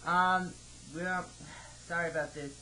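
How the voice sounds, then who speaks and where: A man in his twenties talking haltingly and questioningly, like a customer service caller on a phone call. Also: on the high side, fairly even intonation, pushed and bright. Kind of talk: hesitant phone conversation. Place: home office, indoors. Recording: noisy home computer microphone recording.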